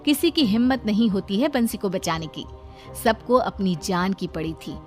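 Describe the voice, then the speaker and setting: A woman's voice: mid-range voice, expressive, normal speed, a little mellow. Woman narrating a story over faint music. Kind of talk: scripted narration of a cartoon story.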